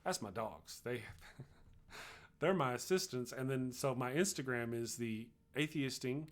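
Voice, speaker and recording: A man's voice: mid-range voice, a little slow. Chatty Texan man livestreaming. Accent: Texas. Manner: rambling and chatty, frequent restarts and laughs. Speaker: livestream host. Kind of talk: casual livestream chat, reading viewer comments.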